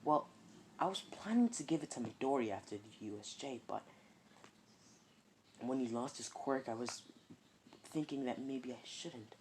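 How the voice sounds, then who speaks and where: The narrator voicing a grown male hero mentor in a retold fan story, speaking quietly and hesitantly. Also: mid-range voice, very animated, brisk, slight background noise, close to an everyday voice. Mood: musing quietly. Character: big hero mentor, grown man, earnest.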